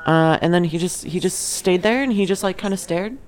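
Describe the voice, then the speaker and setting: A woman's voice: lowish voice, brisk, darkish tone. A woman co-hosting a casual podcast with friends, giggling often as she tells stories. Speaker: podcast co-host. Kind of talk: casual chat.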